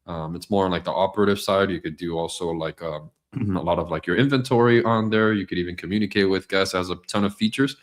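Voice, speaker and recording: A hoarse man co-hosting a business podcast, talking in a rambling way with many fillers. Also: low voice, steady tone, fast. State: hoarse, losing his voice. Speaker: podcast co-host. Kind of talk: casual business podcast chat. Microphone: studio mic.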